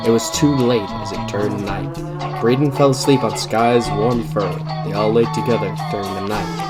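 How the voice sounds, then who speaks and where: Narrator dramatically reading fan fiction over loud music. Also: medium pitch, steady tone, normal speed. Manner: stumbles and breaks into laughter while reading.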